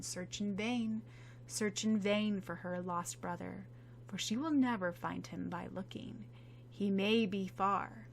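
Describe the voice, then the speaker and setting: A smug sphinx spirit, put on by a woman reading a storybook, drawling its riddles slowly and teasingly. The voice sits at a middle pitch and lingers over each line with mockery. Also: a little hiss or hum, close to an everyday voice. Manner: slow, teasing, mocking sing-song.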